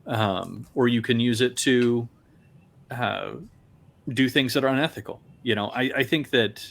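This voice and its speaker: A man's voice: mid-range voice, unhurried, vocal fry, fairly bright tone. A man on a casual podcast panel, speaking with hesitant phrasing and dry humor.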